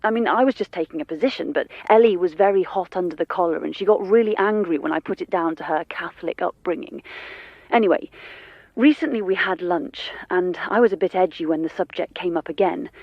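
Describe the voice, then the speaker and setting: A woman's voice: lowish voice, fairly quick, dry recording. Guarded woman, dull phone sound. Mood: confiding, thoughtful. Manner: hesitant, confiding. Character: guarded young actress, reluctant but candid friend. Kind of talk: naturalistic radio drama dialogue.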